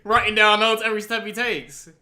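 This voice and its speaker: A man's voice: high-pitched, completely flat delivery, brisk, pushed and bright. A man hosting a reaction video, commenting casually in a joking, slangy way.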